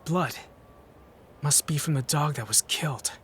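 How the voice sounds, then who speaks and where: A man playing a troubled young psychic who narrates his story in a brooding, hushed, dramatic fan-dub style. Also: a little high, unhurried, a little hiss or hum. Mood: uneasy, pensive.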